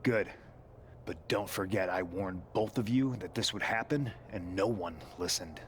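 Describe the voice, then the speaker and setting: An actor playing an embittered, vengeful veteran wrestler in a video game cut-scene, terse and accusatory. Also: male voice, deep voice, a little slow, slight background noise. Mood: bitter, vengeful.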